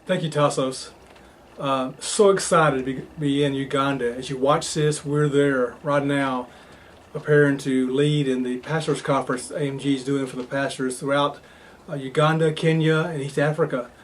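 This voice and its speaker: A man's voice: mid-range voice, steady tone, moderate pace, a little hiss or hum. A man giving an enthusiastic video update about a trip. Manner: enthusiastic and warm-hearted.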